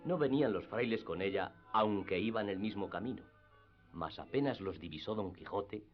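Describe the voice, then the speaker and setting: A man with a very low voice reads literary narration for a radio drama. Faint music plays behind him, and the recording is slightly muffled. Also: lively intonation, normal speed.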